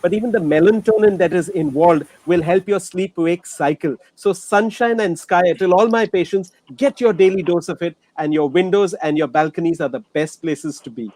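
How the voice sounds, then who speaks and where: A man's voice: high voice, moderate pace, few pauses, a little mellow, low-quality recording. A man, a doctor giving simple practical advice in short lists. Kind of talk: online panel discussion.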